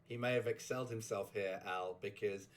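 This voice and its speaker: Lowish guy hosting a chatty recap show, rambly, keeps chuckling and restarting, voice just getting over a sore throat. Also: fairly even intonation, moderate pace. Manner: rambling, chuckling, self-interrupting. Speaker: host. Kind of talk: casual chat recapping a game community.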